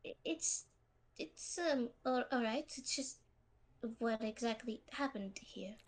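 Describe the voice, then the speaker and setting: A woman's voice: fairly high pitch, animated, slow, heavily acted. A woman voicing a timid, anxious young demigod boy in a fantasy audio drama, hesitant and stammering.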